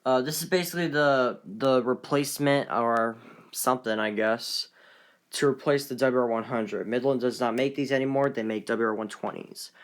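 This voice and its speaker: Male collector, casual unscripted show-and-tell, medium pitch, halting delivery that trails off. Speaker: collector presenting weather radios.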